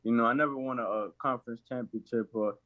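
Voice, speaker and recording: A young college basketball player from Massachusetts answering interview questions in a halting way, filling pauses with "you know" and "uh". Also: male voice, medium pitch, fairly even intonation, dry recording, narrow, tinny audio. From Lawrence, Massachusetts. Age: young adult.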